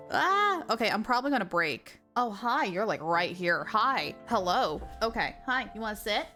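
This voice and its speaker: A woman livestreamer chatting casually over a game, with an excitable, gushing manner. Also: mid-range voice, moderate pace, soft background music. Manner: excitable, gushing, baby-talks to her dog. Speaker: live game streamer. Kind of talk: casual livestream chat while gaming. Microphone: streamer's mic, wearing headphones.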